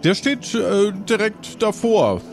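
Man, game master, improvised pirate narration, rather high voice, slow delivery, clear background music. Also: sing-song.

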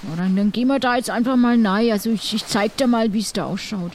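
A woman's voice: mid-range voice, fast, a little mellow, a little hiss or hum, lightly acted. A woman with a southern German accent playing a folksy, chummy pirate crew mate in improvised role-play. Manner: folksy, chatty.

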